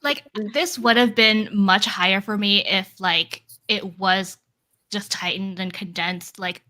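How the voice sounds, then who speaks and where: A woman's voice: mid-range voice, steady tone, takes it slowly, bright, forceful tone. A woman talking casually as a panelist in a group book discussion.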